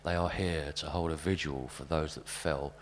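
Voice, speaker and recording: Very deep-voiced man reading live. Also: steady tone, normal speed. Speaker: spoken-word performer. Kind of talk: live reading of observational anecdotes. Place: live literary event venue. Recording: live event recording with background hum.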